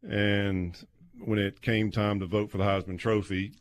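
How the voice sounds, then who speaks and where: A low-pitched man. He talks like a radio guest swapping old stories, taking his time and pausing as he goes. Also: level tone. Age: 50s. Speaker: guest, former college quarterback. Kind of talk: casual sports radio storytelling. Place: small padded radio studio. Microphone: close studio microphone with headset.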